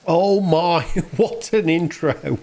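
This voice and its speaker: A man giving casual, rambling reaction commentary on a video game, halting as he goes. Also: a little high, a little mellow.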